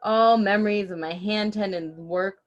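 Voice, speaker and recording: A woman's voice: mid-range voice, steady tone, moderate pace, muffled recording. A woman reading a children's story aloud expressively and chatting casually as host.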